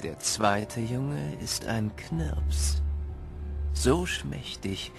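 A man narrating a dark winter legend as a solemn, dramatic storyteller in an audio play. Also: mid-range voice, animated, extremely slow, gravelly, over music, heavily acted.

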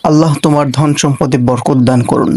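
A formal, solemn guard from a cartoon fable, acted by a man. His voice is high for a man, with a gravelly creak. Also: steady tone, brisk, darkish tone, slight background noise, lightly acted. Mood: blessing. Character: formal disguised royal guard testing and blessing people.

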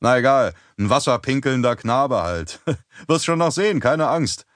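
A man's voice: lively intonation, a little slow, a bit bright, no echo, studio quality. A man voicing a jovial, heavyset middle-aged male crime boss with an effusive, hearty manner. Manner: effusive, booming, back-slapping.

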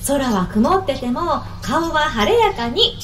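ラジオドラマで、ピクニック中の明るく愛情深い若い女性を、励ますような甘い口調で演じる女性。. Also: female voice, sits high, big pitch swings, unhurried. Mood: gently encouraging. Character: cheerful, affectionate young woman on a picnic. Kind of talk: radio drama acting.

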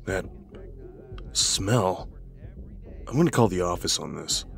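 A man's voice: sits low, lively intonation, slow, gravelly, a bit bright, faint music behind, heavily acted. A man playing an overprotective, dismissive young husband in a horror audio drama, insistent and curt. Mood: suspicious.

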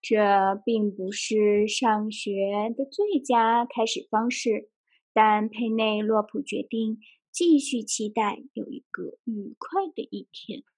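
A woman reading a children's picture book aloud in an expressive storytelling style. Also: medium pitch, slow. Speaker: storybook reader and translator. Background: music.

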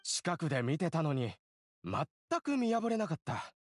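A man's voice: fairly high pitch, sing-song, moderate pace, no echo, studio quality. A man playing a confident, talkative young townsman in an anime game, telling his story in a casual, assertive way. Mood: admiring, confident.

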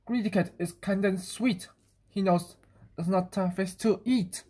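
A woman reading a children's picture book aloud, sometimes halting and repeating phrases. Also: medium pitch, mellow. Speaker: storybook reader.

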